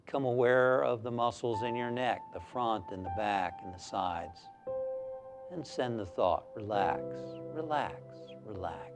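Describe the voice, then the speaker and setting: A man leading a guided meditation as a minister, speaking in a calm, soothing, measured way with long pauses. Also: fairly low pitch.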